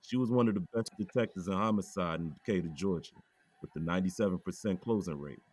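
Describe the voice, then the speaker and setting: A grieving police chief, played by an actor in an audio drama, speaking calmly and graciously. His voice is very deep. The recording is dry and close. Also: quick pace, slightly rough, no echo. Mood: steady.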